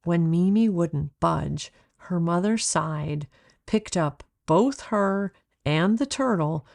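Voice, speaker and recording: A woman reading her own children's story aloud as a deliberate, expressive narrator, recorded close. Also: lowish voice, creaky. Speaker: author reading own book.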